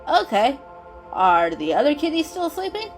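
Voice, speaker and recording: A cheeky, confident young male house cat, voiced by a woman, talks in a breezy, casual storybook style. The voice is highish and very animated, with faint music underneath. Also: moderate pace. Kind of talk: dramatic children's story reading.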